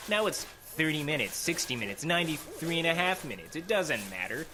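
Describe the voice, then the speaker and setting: A man's voice: a little high, big pitch swings, brisk. An actor playing a cynical veteran public radio reporter in an audio drama, complaining as he walks through the woods. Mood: grumbling. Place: outdoors in the woods.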